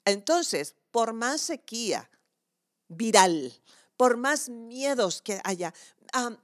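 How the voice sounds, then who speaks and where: Woman, devotional preacher, lively swooping intonation, reflective, emphatic key words, dry close recording. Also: a little high, drawn-out words, dry recording. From southern Chile. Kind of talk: conversational devotional sermon with scripture reading.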